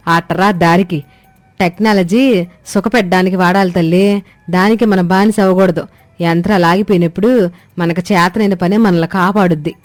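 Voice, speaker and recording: A woman's voice: fairly low pitch, steady tone, brisk, dry recording, faint music behind, close to an everyday voice. A woman voicing a scolding old village mother-in-law. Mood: proud, preaching. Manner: scolding, earthy, colloquial.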